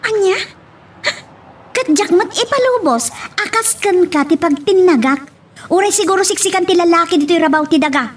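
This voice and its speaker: A woman's voice: very high-pitched, expressive, normal speed. A woman acting a feisty young daughter and sweetheart in an Ilocano romance drama, with an Ilocano accent. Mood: indignant, defiant.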